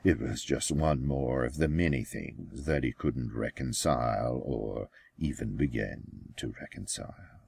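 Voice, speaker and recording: A man reading his own fiction as an audiobook, with deliberate, dramatic phrasing and pauses. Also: rumbling low, creaky, a little mellow, breathy edge, no echo, studio quality. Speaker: author reading own novel. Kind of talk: scripted audiobook narration.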